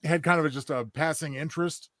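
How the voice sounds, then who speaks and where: Man, podcast co-host, slightly high voice, dry sound, earnest, wordy, halting, conversational. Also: fairly even intonation, a bit bright, no echo. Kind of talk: casual chat.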